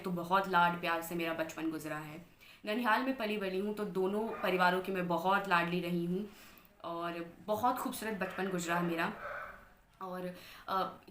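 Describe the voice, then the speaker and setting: A woman's voice: fairly low pitch, a bit bright, roomy sound, slight background noise. A woman in her twenties from Bihar, India, earnestly telling her life story as an interview guest and mixing English phrases into her Hindi. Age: 20s. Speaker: interview guest, anchor and model.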